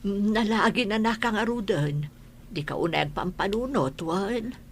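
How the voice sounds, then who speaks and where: An actress playing a caring, fussing older village auntie in an Ilocano audio drama. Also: female voice, mid-range voice, measured pace, slight background noise, close to an everyday voice. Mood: concerned, motherly. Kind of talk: Ilocano radio-style audio drama acting.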